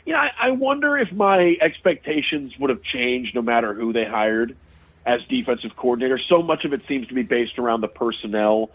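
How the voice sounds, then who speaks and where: A man's voice: mid-range voice, a little fast. A male sports broadcaster calls in as a guest, his voice thin and narrow the way a phone line makes it. He talks in a middle register and picks teams apart analytically. He often stops and restarts his sentences. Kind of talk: casual sports talk interview.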